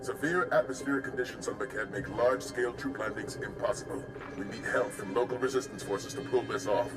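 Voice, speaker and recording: A man's voice: medium pitch, a little fast, natural voice. Game commander man acting: formal, stern military briefer, reverberant sound, score underneath. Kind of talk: scripted video game acting. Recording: echoey, with game music behind.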